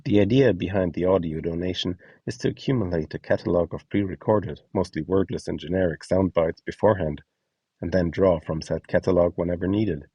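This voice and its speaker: A man with a low-pitched, mellow voice narrates a scripted guide as host and instructor. His tone is a little husky and the sound is close and dry, with each step laid out plainly. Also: a little fast, dry recording, far from the mic, dull audio. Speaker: host and instructor of a voice donation project.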